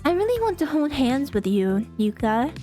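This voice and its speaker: Woman playing a high-voiced, earnest lovestruck foreign schoolgirl. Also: big pitch swings, normal speed, dark tone, faint music behind. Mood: earnest pleading. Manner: stilted broken phrasing.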